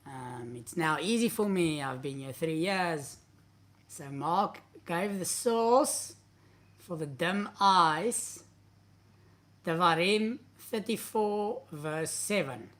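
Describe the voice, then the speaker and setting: A man's voice: a little high, expressive, takes it slowly, a bit bright. A man in his thirties with an Afrikaans accent, a native Afrikaans speaker, hosting a live question-and-answer stream. He reads out viewers' questions and answers them in a candid, blunt, conversational way from a home study. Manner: candid, blunt and conversational, gestures while talking. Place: indoor home study with bookshelves. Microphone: webcam at a desk, seated.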